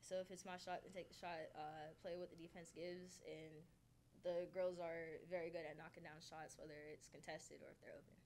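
A young adult woman gives post-game answers as a college basketball player. Her voice sits a little low, with faint rumbling noise behind it in an indoor press room. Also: little pitch movement, normal speed, a little mellow. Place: indoor press conference room, seated at table.

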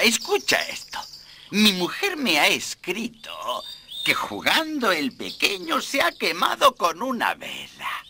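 An actor playing a courteous, effusive old professor and explorer in a theatrical cartoon style. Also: male voice, sits high, gravelly, noisy background, full character voice. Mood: excited, curious. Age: older. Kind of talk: animated cartoon dub.

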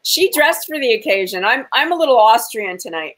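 Playful high-voiced woman host. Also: very animated, normal speed, a bit bright. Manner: playful, joking with mock French phrases. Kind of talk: playful banter on a video call. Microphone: webcam on a video call.

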